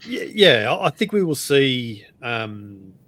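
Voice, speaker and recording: A man's voice: mid-range voice, big pitch swings, takes it slowly, fairly bright tone. A man hosting a rugby podcast in casual chat, steering the talk and asking follow-up questions. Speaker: podcast host.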